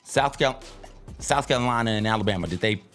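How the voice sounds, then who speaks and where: A man's voice: fairly low pitch, fairly quick, fairly bright tone, soft background music. A man co-hosting a casual sports talk show, talking in combative, slangy banter with mock rants and swearing.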